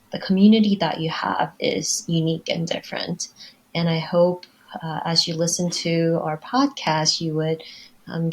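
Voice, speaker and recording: Woman, podcast panelist, lower-pitched, reflective, halting, thoughtful, casual discussion. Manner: halting, thoughtful, pausing mid-sentence.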